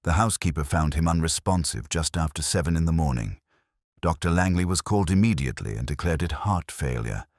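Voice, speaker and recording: A male storyteller voices an uneasy Victorian gentleman in a detective audiobook. He is formal and guarded, speaking very low with a varied, expressive pitch. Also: fairly quick, no echo, clean studio sound. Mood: formal, subdued.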